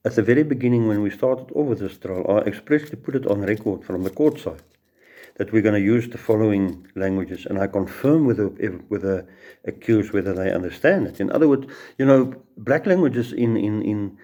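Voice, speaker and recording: A man's voice: on the low side, normal speed, dark tone, dull audio. A middle-aged man with a South African Afrikaans accent, a judge giving an informal law lecture full of courtroom stories and restarts. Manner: storytelling, repeats words and restarts.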